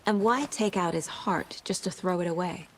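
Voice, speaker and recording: A woman's voice: on the low side, normal speed, natural voice. A woman playing a composed, driven FBI agent in a video game, speaking in a focused, analytical, matter-of-fact way. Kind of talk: cinematic video game acting. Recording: clear background ambient noise.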